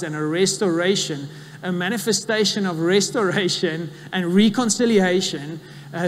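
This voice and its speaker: A man, praying earnestly over guests from the pulpit, with halting pauses. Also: high voice. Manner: earnest, halting prayer with repeated "God". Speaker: pastor leading prayer. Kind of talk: spoken prayer. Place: church hall. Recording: long church reverb.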